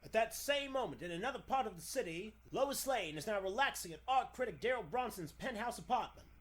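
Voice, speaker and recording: A man's voice: high voice, lively intonation, a little fast, fairly bright tone. A man narrating in the dramatic announcer style of a 1940s radio serial.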